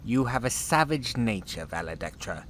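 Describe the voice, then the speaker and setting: A man's voice: medium pitch, big pitch swings, moderate pace, fairly bright tone, a little hiss or hum. A man playing an anxious, self-important chief officer in a sci-fi audio drama, agitated and pompous. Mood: anxious suspicion. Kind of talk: full-cast sci-fi audio drama acting.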